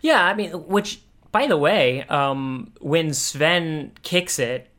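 A man co-hosting a podcast, thinking aloud in halting, casual commentary on pop culture. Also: on the high side, lively intonation, a bit bright. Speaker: podcast co-host.

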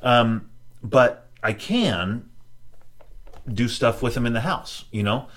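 A man's voice: medium pitch, animated, slow, a bit bright. Reflective man hosting a podcast. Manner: reflective, frequent restarts and "you know". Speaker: podcast host. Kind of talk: casual conversation.

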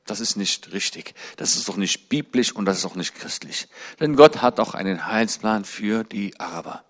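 A man in his forties giving a sermon-style talk to a church congregation. He chats in a friendly way, then grows fervent and climbs high and loud on his main points. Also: moderate pace. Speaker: guest speaker at a church.

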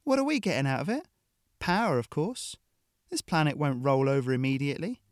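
A man voicing a big, brutal female mercenary who sneers and taunts, in a high put-on voice. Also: animated, fairly quick, no room sound, clean studio sound, natural voice. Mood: contemptuous.

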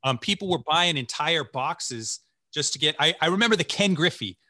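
Bright-voiced enthusiastic podcast host, forties. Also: male voice, fairly high pitch, expressive, normal speed, no echo, studio quality. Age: 40s. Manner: chatty, enthusiastic interviewer. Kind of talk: casual interview chat. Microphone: video call.

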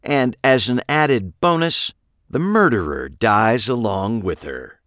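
A man's voice: medium pitch, lively intonation, slow, fairly bright tone, no echo, studio quality, voice pushed up. A male narrator voicing a smug, menacing killer who lectures his captives in a taunting manner. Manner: taunting, lecturing, enjoys explaining. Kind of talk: audiobook character reading.

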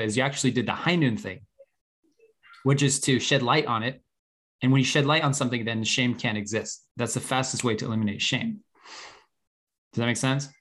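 A man's voice: mid-range voice, a bit bright. A man hosting a group discussion, explaining and advising in an earnest, conversational way. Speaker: host guiding a group discussion.

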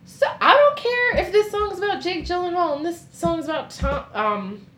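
A woman's voice: very high voice, animated, moderate pace, a bit bright. A woman co-hosting a podcast from home in casual chat, wry and sarcastic, laughing often. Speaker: podcast co-host. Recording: echoey room with faint noise.

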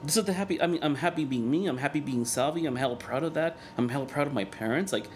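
A man in his thirties whose first language is Spanish, speaking as a guest in a candid interview, earnest and reflective, often halting and restarting. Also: on the high side, slight background noise. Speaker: guest, cartoonist and organizer.

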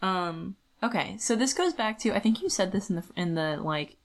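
A woman's voice: medium pitch, animated, moderate pace. A woman co-hosting a podcast, talking through a book in a casual, rambling way with lots of informal filler phrasing. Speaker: podcast co-host. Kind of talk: casual book discussion chat.

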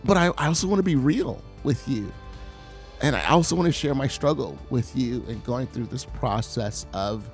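Earnest gravelly-voiced man giving testimony. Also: mid-range voice, expressive, faint music behind. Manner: earnest, confessional, halting with repeated words. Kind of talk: personal testimony sermon.

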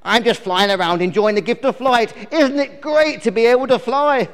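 A little storybook lady bluebottle, put on by a man, chats in a high, lively voice. She is eager and enthusiastic, and her words echo at length. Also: moderate pace. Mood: cheery. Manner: enthusiastic, apologetic, chatty. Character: cheery, eager little female bluebottle fly. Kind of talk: children's storybook character voice.

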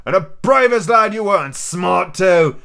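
A man's voice: sits high, animated, a little slow, vocal fry, fairly bright tone, voice pushed up, full character voice. A man reading an audiobook, voicing a cunning, boastful, domineering pirate cook with emphatic rustic sailor talk. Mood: boastful, wheedling. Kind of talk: audiobook character acting.

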